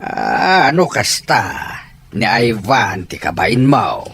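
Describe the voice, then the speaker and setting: A man playing a frank, steady older uncle with a Filipino accent, giving counsel in an Ilocano radio drama. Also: mid-range voice, slow pace, husky, full character voice. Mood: frank, reproving.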